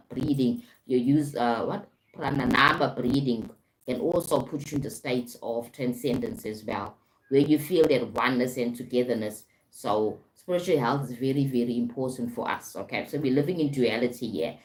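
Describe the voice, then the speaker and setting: A woman with a very deep voice, talking casually on a live stream as a spiritual coach, often repeating herself and checking in with "right?". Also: low-quality recording. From South Africa. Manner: repetitive, frequent "right?" check-ins. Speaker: livestream host, spiritual coach.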